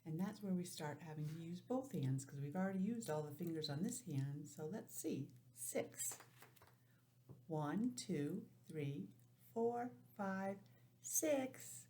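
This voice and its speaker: A woman teacher giving an informal, encouraging lesson to young children, sounding out letters and counting deliberately. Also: lowish voice, animated, dark tone, slight background noise. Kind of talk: children's lesson, read-aloud introduction.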